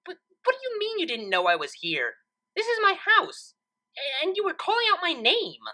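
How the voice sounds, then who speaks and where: A woman's voice: extremely high pitch, wildly swooping pitch, moderate pace, pushed and bright, pitched above the performer's own voice, full character voice. A woman voicing an exasperated, condescending female alicorn pony in a storybook reading. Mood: indignant. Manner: indignant, condescending.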